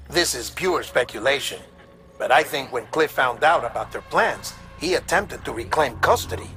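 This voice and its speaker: An actor playing an earnest, troubled investigator who briefs a colleague and probes theories with pointed questions in a video game. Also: male voice, fairly high pitch, fairly bright tone, rough recording. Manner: earnest, speculative, probing with rhetorical questions. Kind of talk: dramatic video game dialogue, briefing by radio. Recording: music behind the voice.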